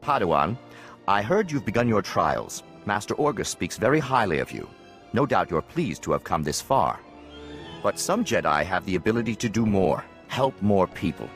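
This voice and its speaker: An actor playing a formal, authoritative warrior-monk trainer in a video game. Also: male voice, fairly low pitch, very animated, moderate pace, fairly bright tone, soft background music. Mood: stern, encouraging. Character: authoritative Jedi trainer, formal recruiting mentor.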